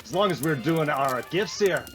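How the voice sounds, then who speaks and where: An actor playing a brash, excitable, slangy guy in an old-time radio comedy, with a gravelly voice. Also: a little high, normal speed, over music, lightly acted. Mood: thrilled, gruff.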